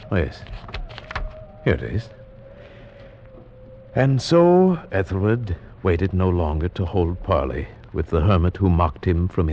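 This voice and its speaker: Uneasy formal gentleman narrator, old time radio horror, lowish voice, muffled vintage recording. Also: a little hiss or hum. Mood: measured storytelling. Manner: formal, theatrical, deliberate storytelling. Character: anxious gentleman narrator, houseguest reading an old tale aloud. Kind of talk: 1940s radio horror acting.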